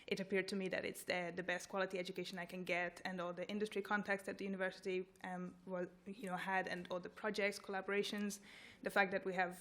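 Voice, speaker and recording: A woman's voice: medium pitch, level tone, fast, fairly bright tone. A woman from Hungary giving evidence at a committee hearing, earnest and full of "you know" fillers. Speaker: committee witness.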